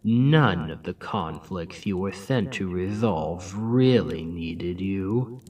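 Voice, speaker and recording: Man playing a scheming demon king for ASMR roleplay, low-pitched and unhurried, mocking drawl with whispers, mic set back. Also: lively intonation, distant mic, full character voice. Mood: gloating. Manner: slow, soft-spoken, mocking drawl with dips into whisper.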